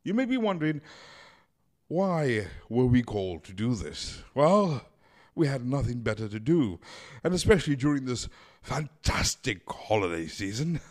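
Theatrical, mock-formal comedy host. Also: male voice, medium pitch, big pitch swings, moderate pace. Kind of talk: hammy scripted comedy hosting. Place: small home studio. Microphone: desk mic on boom arm.

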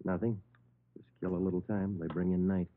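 An actor playing a terse, unflappable police detective in a 1950s radio crime drama, clipped and matter-of-fact. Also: male voice, low-pitched, little pitch movement, brisk, lightly acted. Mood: coolly pressing. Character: terse, unflappable male police detective, narrating his case. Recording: muffled old radio recording.

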